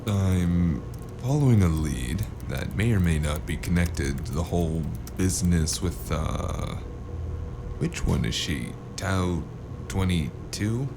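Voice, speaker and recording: An actor playing a jaded, wry investigator with a deep, creaky voice in a noir audio drama. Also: male voice, animated, takes it slowly, over music, full character voice. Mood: casual, flippant. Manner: wry, world-weary, casual. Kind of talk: noir audio drama with first-person narration.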